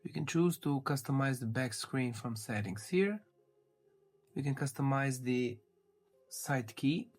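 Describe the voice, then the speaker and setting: A man's voice: mid-range voice, lively intonation, a little slow. A man giving an informal, step-by-step tech review commentary as he handles a device. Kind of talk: casual unboxing walkthrough commentary.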